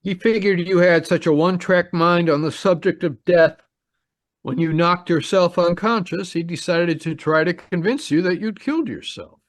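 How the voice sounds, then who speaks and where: A man's voice: high-pitched, moderate pace, dry recording, close to an everyday voice. A man acting a stern, formal homicide lieutenant in an old-time radio drama. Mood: matter-of-fact, firm. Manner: formal, authoritative. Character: stern homicide lieutenant, masterminding a trick confession.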